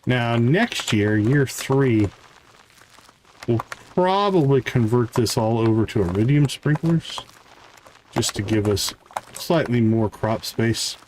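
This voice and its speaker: A man's voice: medium pitch, expressive, slow, a little mellow, a little hiss or hum, low-quality recording. A man giving casual live game commentary as a streamer, thinking aloud and chatting to viewers.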